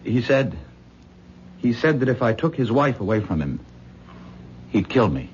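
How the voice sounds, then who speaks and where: A man's voice: medium pitch, very animated, measured pace, a little mellow. An actor playing an earnest young man in love who pleads in a 1970s radio drama. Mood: pleading. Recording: dull 1970s radio recording with faint noise.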